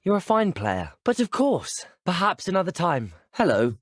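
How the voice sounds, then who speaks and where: A man's voice: high voice, huge pitch swings, normal speed, no echo, studio quality, full character voice. A young man with a British accent playing a polite, curious, self-assured teenage wizard student in a fantasy video game, well-spoken and courteous. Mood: upbeat, confident. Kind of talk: scripted video game voice acting.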